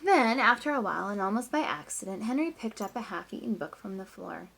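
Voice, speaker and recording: A woman teacher reading a picture book aloud to children, with expressive emphasis, drawn-out words and hushed asides. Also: medium pitch, vocal fry, rough recording.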